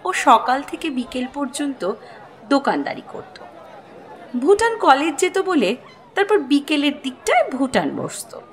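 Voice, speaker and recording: A woman narrating a scripted story as its host, in an expressive storytelling style with music underneath. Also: high-pitched, a little slow, a faint crowd.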